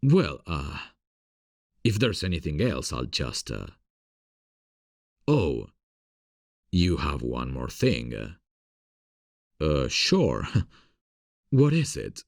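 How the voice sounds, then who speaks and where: A man playing a gentle, earnest theatre director in love, in an intimate ASMR romance roleplay, speaking in a tender, confiding, hesitant way with a husky voice. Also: very deep voice, wildly swooping pitch, very slow, pauses often, no room sound, studio quality, heavily acted. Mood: flustered.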